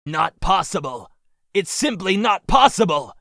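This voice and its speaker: A man voicing a solemn, gentle, massive teenage girl fighter in an anime fan dub, speaking gravely and formally. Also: high-pitched, sing-song, measured pace, no echo, studio quality, heavily acted. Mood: shaken.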